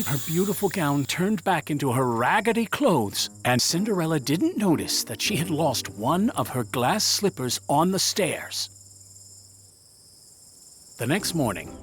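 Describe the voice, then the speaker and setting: Male storyteller, children's tale narration, slightly high voice, highly varied intonation, dramatic delivery. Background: music.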